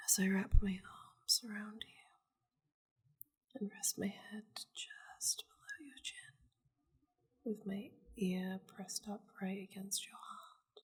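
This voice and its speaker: A seductive demon lady of war, acted by a woman for an intimate ASMR roleplay. She speaks slowly and possessively, with a breathy, husky tone and a gravelly fry. Her manner is teasing and domineering, close to a whisper at times. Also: on the low side, darkish tone, exaggerated voice. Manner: slow, breathy, teasing, often dropping into whispers.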